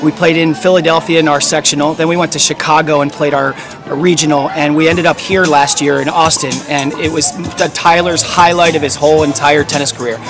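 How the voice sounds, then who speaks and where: Eager tennis dad, slightly high voice. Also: male voice, steady tone, a little fast, over music. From Pennsylvania. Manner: eager, enthusiastic. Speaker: tennis parent. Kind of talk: interview to camera. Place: outdoor tennis courts.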